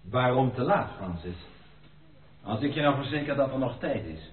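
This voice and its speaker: Actor as distant, echoing gentleman suitor. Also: mid-range voice, animated, normal speed, close to an everyday voice. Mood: solemn, pleading. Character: solemn gentleman suitor, faithful fiancé. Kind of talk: Dutch radio play acting. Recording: distant, echoey, humming, narrow-band old recording.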